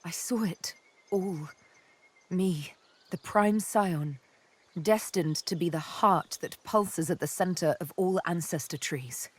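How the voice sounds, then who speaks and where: A woman's voice: lowish voice, slow, husky. A woman playing a cool, sardonic, vengeful elf assassin in a fantasy game, poised, wry and intimate. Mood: troubled, bitter. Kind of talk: fantasy video game acting.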